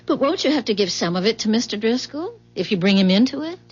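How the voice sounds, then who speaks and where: A friendly, curious housewife in vintage radio drama. Her slightly high voice is husky, with casual, questioning phrasing. The recording is dull and dated. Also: very animated, fast talker, soft background music. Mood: tentative. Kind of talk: 1970s radio drama acting.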